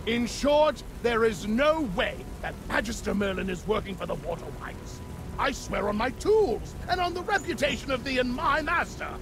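A man's voice: very high-pitched, normal speed, creaky, background noise, heavily acted. A man voicing an eager, talkative craftsman who gushes with admiration in a game cutscene. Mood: earnest. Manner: excitable, gushing.

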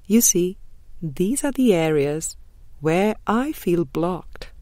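Slow, mellow woman reading aloud. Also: lowish voice, lively intonation, dry recording. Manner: measured, deliberate, with frequent pauses. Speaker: audiobook reader. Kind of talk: scripted reading of a spiritual book.

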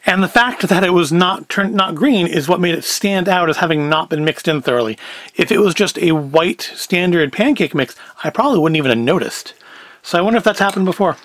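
A man's voice: high-pitched, expressive, fairly quick, a bit bright, no echo. A man, chatting casually through a food review in a wry, self-deprecating, rambling way. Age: 40s. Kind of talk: casual review chat to camera.

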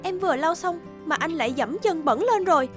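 A young cartoon wife who sounds worn down and fed up. Her voice is very high and expressive, with soft music underneath. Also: a little fast. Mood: annoyed. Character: weary, fed-up young wife and caring friend. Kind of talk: animated cartoon dialogue. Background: background music.

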